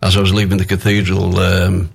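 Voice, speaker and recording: Older guy singer in a radio studio, low gravelly voice, rambles through stories with lots of uhs. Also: monotone, a little fast. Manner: storytelling, hesitant with fillers. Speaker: singer guest. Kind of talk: casual radio interview chat.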